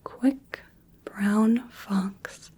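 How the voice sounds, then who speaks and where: Woman, ASMR clinician roleplay, mellow breathy whisper, very unhurried. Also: medium pitch. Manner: soft unvoiced whisper, gentle step-by-step instructions. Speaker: ASMR creator playing an examining clinician.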